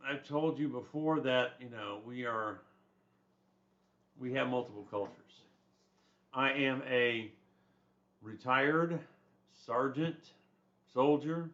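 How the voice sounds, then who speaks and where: An older man lectures in a loose, conversational way, drifting into asides and restarting his sentences as he goes. His voice sits at an ordinary pitch for a man, and the recording sounds a little dull and muffled. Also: slow pace, long pauses, fairly bright tone. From born in Germany, raised in the United States. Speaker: instructor. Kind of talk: casual lecture on a textbook chapter.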